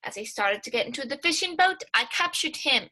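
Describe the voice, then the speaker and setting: Woman as loyal gentleman sidekick, high bright voice, earnest, modest, old radio drama acting. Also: animated, a little fast. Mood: eager, earnest. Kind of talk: 1940s radio play acting.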